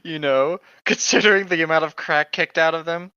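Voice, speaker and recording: A man's voice: fairly high pitch, moderate pace, bright, forceful tone, clear, resonant tone, dry recording, dull audio. A man reading aloud casually and chatting as a comedy co-host, with wry, rambling asides. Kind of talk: casual read-aloud with joking banter.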